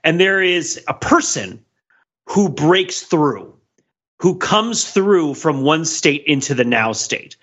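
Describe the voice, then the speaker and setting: A man giving analytical commentary as a podcast panelist, deliberate and mock-academic, building his points in measured phrases. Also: a little high, big pitch swings, fairly bright tone, highs cut off.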